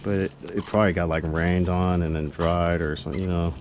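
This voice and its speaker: Low-pitched man muttering through a repair. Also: little pitch movement, fairly quick. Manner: slangy, muttering to himself and addressing viewers. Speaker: amateur repair vlogger. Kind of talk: casual unscripted chat while working on a repair. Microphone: GoPro action camera. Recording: noisy action-camera audio.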